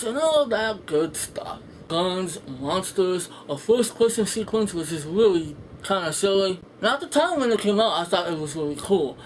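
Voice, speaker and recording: Blunt, rambling reviewer over background noise. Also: mid-range voice. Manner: blunt, sweary, sarcastic. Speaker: film reviewer, video host. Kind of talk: casual, rambling, opinionated movie review. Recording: noisy home room with background ambience.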